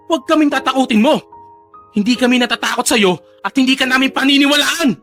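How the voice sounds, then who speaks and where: Feisty protective daughter, high voice, emphatic delivery, radio drama, light music underneath. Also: female voice, a bit bright. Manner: emphatic, indignant. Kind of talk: Filipino radio drama acting.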